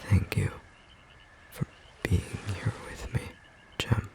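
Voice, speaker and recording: A man playing a gentle, romantic storm sorcerer in intimate ASMR roleplay, speaking tenderly in a soft, breathy, slightly rough voice. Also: natural voice. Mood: tender, sincere. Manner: soft, slow, tender murmuring with pauses.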